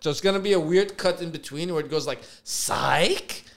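A man's voice: fairly high pitch, normal speed, vocal fry. A man co-hosting a casual reaction chat, laughing often and swearing casually. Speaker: podcast co-host.

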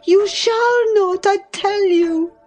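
A desperate young heroine of an audiobook drama, very high and breathless. She pleads insistently, drawing words out slowly, wild and frail, with faint music behind. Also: darkish tone. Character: desperate, wild, frail young woman clinging to her lover. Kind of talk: dramatized audiobook reading of a classic novel.

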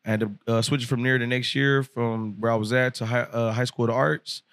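A man in his twenties, a rapper telling stories on a podcast in a loose, profane, animated way, laughing often. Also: medium pitch, fairly quick, no room sound. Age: 20s. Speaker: rapper guest. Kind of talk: casual podcast storytelling. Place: podcast studio.

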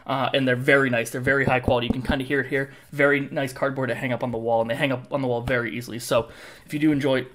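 A man's voice: medium pitch, little pitch movement, racing, a bit bright. A young man in a mid-range voice hosting a casual podcast. He talks in an easygoing way, full of fillers, and reads the sponsor plug loosely. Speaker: podcast host.

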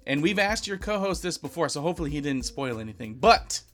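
A man's voice: a little high, expressive, fast talker, a bit bright, faint music behind. A man hosting a podcast, casually putting questions to his guests. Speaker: podcast host.

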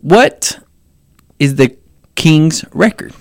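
A man co-hosting a casual sports podcast, excitable and swearing a lot, his voice jumping up into a high squeak. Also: drawn-out words, vocal fry. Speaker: podcast co-host.

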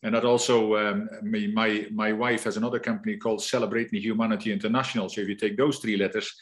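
A man's voice: on the low side, level tone, fast talker. A middle-aged man from the Netherlands with a Dutch accent, talking reflectively as an interview guest, often restarting his sentences. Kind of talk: conversational interview.

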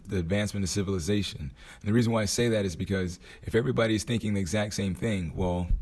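Deep raspy guy doing a chill solo podcast, hoarse voice, thinks out loud. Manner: relaxed, thinking aloud with restarts. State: hoarse from a night out. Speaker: podcast host. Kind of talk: casual motivational monologue.

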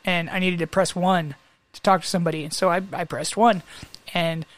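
Chatty male co-host, lively anecdotes, dry close sound. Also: high voice, fairly quick, no echo. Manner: animated storyteller, laughs often. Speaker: podcast co-host. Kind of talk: casual chat.

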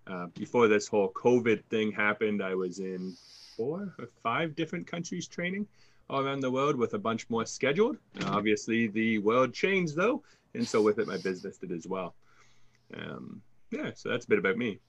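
A man's voice: on the low side, animated, moderate pace, muffled recording. A man with a Canadian accent, a sales coach answering interview questions in a confident, fluent storytelling way.

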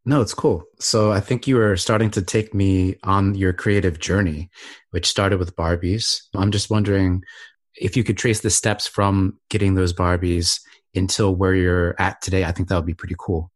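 A man's voice: sits low, fairly even intonation, moderate pace. A man hosting a podcast, introducing and interviewing a guest in an upbeat, friendly way. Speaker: podcast host.

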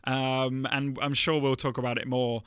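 A man's voice: medium pitch, flat delivery, normal speed, a bit bright, clean tone, no room sound, clean studio sound. A man co-hosting a podcast, chatting casually and reading listener emails aloud, upbeat, laughing often, with lots of fillers. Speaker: podcast co-host.

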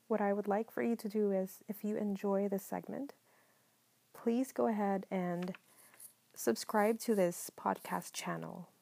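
A woman from the Philippines hosting a solo podcast, talking in a calm, mellow way, partly whispered, with many ums. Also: mid-range voice, vocal fry, no echo. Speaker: podcast host. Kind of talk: soft-spoken solo podcast monologue.

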